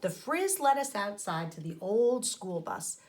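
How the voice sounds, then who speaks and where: A woman teacher reading a children's story aloud expressively, pausing to explain things to her young listeners. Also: medium pitch, sing-song, a little mellow. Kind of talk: animated storybook read-aloud with asides to children.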